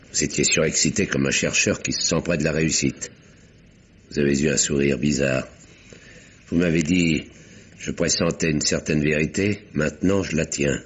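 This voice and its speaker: A man's voice: a bass voice, breathy edge. An actor playing an earnest, insistent older uncle in an old French radio drama, speaking formally and articulately as he probes. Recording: dull, noisy vintage radio recording.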